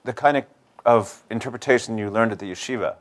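A man interviewing a guest in a live literary conversation, halting and searching for words as he forms questions. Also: fairly low pitch.

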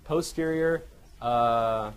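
A man presenting radiology cases as a teaching lecture, halting and often correcting himself, with questions to his listeners. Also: mid-range voice, clear, resonant tone. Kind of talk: medical case lecture with questions to the audience. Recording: noisy live lecture room recording.

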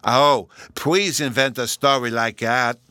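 A man voicing an eager, innocent little boy in a storybook, with artless, drawn-out childish speech. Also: mid-range voice, expressive, sharp tone, no room sound, clean studio sound, pitched above the performer's own voice.

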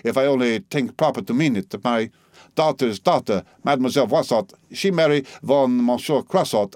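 A man's voice: medium pitch, darkish tone, no room sound, clean studio sound, lightly acted. A man voicing an indignant eighty-two-year-old French woman who speaks broken English with a French accent. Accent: put-on French accent.

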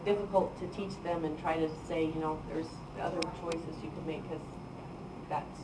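A woman's voice: deep voice, fairly even intonation, moderate pace, echoey room. A woman in the audience, a teacher, answering a question in a hesitant way. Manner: hesitant, searching for words. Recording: distant room mic with noise.

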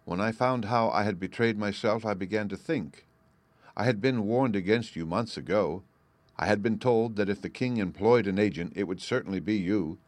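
A clever, composed woman's letter, as a male reader voices it, read in a measured, low voice. The sound is dry and close to the microphone. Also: no room sound, close to an everyday voice.

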